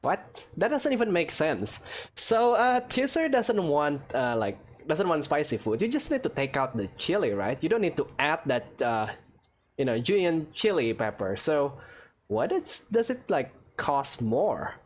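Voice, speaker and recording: A woman's voice: low-pitched, big pitch swings, measured pace. A woman with an Indonesian accent giving chatty, playful, casual commentary as she hosts gameplay. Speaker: gaming video host.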